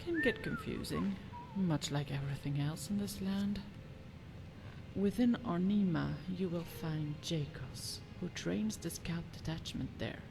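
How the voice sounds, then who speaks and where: A woman's voice: on the low side, huge pitch swings, measured pace, gravelly, noisy background, exaggerated voice. An actress playing a haughty, scheming fantasy noblewoman in a video game, with imperious, formal, disdainful diction. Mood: haughty, composed. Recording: music behind the voice.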